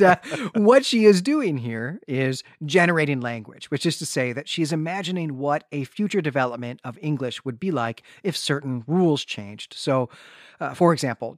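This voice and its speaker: Chuckling male podcast host, slightly higher voice. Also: no room sound, clean studio sound. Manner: animated storytelling, often chuckling through sentences. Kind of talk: casual conversational literary discussion and plot retelling.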